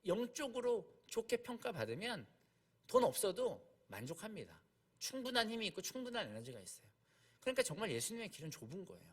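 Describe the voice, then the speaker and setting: Higher-voiced, animated man preaching. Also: brisk, breathy edge. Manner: explanatory, repeats key points, poses rhetorical questions. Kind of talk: conversational expository sermon.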